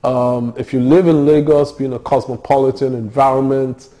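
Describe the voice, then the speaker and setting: Man, middle-aged, dark timbre, hesitant, interview. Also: medium pitch, fairly even intonation, moderate pace. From Nigeria. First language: Yoruba. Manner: thoughtful, hesitant, many fillers and restarts. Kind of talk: oral history interview.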